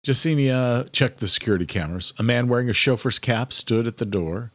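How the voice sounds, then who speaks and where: A man's voice: medium pitch, brisk, fairly bright tone, dry recording. A man reading a horror thriller audiobook as scripted narration.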